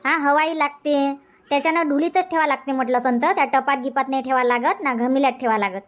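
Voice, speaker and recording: Cartoon comedy housewife, played by an actor: very high voice, chatty, insistent nagger, rural Vidarbha Marathi accent. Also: fast, a bit bright. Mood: stubborn, explaining.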